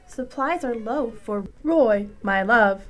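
A woman as a slow, doting ship computer. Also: on the high side, lively intonation. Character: devoted, obliging female spaceship computer. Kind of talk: comic radio play acting.

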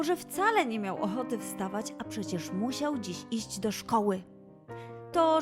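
A woman narrating a scripted children's story with an expressive, dramatised delivery. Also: fairly high pitch.

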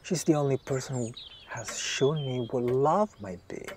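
Man playing lovestruck weak man, halting, earnest, begging, audio drama, clearly noisy home recording. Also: medium pitch, a little mellow, natural voice. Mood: dreamy, earnest. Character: weak-willed, lovestruck male writer begging his mistress.

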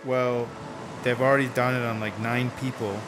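A man's voice: medium pitch, little pitch movement, moderate pace, music in the background, lightly acted. A man playing an earnest, persuasive friend in a radio drama, in a casual, hesitant, coaxing way.

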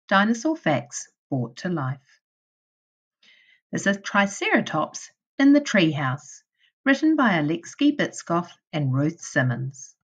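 A woman teacher reading a children's storybook aloud in a deliberate way, addressing young children. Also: low-pitched. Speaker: primary school teacher.

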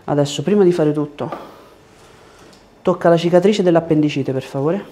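A man, working as a chiropractor and giving short, gentle instructions and questions during an exam. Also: on the high side, normal speed. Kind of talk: hands-on exam, instructing a patient. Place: small treatment room indoors.

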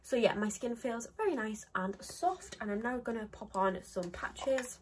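A woman's voice: on the high side, animated, moderate pace, a little hiss or hum, low-quality recording. A young woman in her twenties, congested with a cold, chatting to a close phone camera in a small home office, rambling with lots of ums. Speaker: beauty vlogger. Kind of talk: casual chat to camera.

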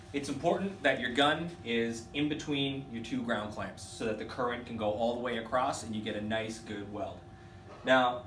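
A man in his thirties casually demonstrating a welding tool in a workshop, chatty and relaxed. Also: mid-range voice, slight background noise. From Canada. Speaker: product demonstrator for a welding company. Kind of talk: casual product demo. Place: workshop with welding equipment, indoors. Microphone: camera mic from a few feet away. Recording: roomy workshop echo from a distance.

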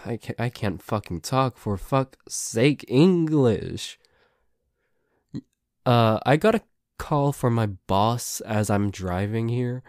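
Slow-paced, grumbling tsundere boyfriend played by a man. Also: fairly low pitch, a little mellow, no echo, studio quality. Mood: suspicious, teasing. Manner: stammering, hesitant, grudging, sweary. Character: grumbling, flustered tsundere boyfriend, secretly affectionate. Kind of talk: ASMR boyfriend roleplay audio.

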